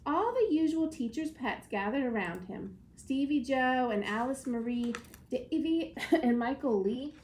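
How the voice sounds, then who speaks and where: High, animated woman teacher reading aloud. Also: measured pace, a little hiss or hum. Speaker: schoolteacher. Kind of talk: expressive storybook read-aloud lesson.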